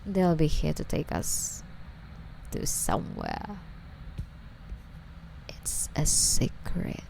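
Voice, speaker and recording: A woman playing an obsessive, sweetly menacing female stalker in an ASMR roleplay, coy and breathy, often slipping toward a whisper as she coddles her captive. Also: low-pitched, wildly swooping pitch, drawn-out words, mellow, a little hiss or hum, full character voice, poor audio. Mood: tender, possessive.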